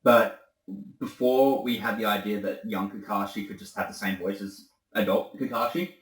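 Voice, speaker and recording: A man hosting a casual interview, relaxed and agreeable, often answering with short yeahs. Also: on the low side, moderate pace, echoey room, low-quality recording. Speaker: interview host. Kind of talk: casual conversation.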